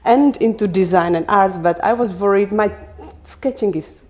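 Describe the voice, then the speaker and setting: Woman, mid-pitched voice. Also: moderate pace, darkish tone. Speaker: podcast guest, landscape design teacher. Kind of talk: casual interview answers.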